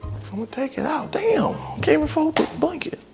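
A man's voice: very high voice, very animated, moderate pace, gravelly, over music, full character voice. A man playing a flustered, jealous young boyfriend who talks in an exasperated way. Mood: argumentative. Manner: exasperated, muttering complaints.

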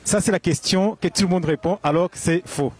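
A man interviewing passers-by outdoors with a handheld mic, quizzing them briskly. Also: high voice, darkish tone, breathy edge. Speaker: street interviewer. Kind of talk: street interview. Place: outdoors on a city square.

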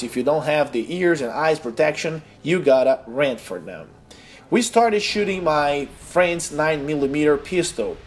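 A young man from Brazil with a Brazilian accent, a native Portuguese speaker, narrating a casual vlog in earnest, slightly halting English. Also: on the high side, a little hiss or hum. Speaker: gaming YouTuber.

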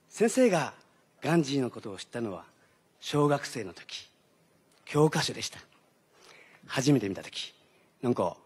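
An actor playing an earnest schoolteacher who gives a formal, halting, deadpan speech on stage. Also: male voice, a little high, slightly rough. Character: earnest, rambling schoolteacher addressing students. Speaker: teacher giving a pre-show speech. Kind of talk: comic stage-play monologue. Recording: stage recording.

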